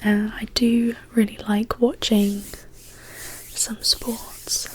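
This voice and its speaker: A woman speaking as an ASMR host in a hushed, whispered, casual question-and-answer style. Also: fairly high pitch, level tone, husky, low-quality recording. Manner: slow, hushed, gently paced delivery.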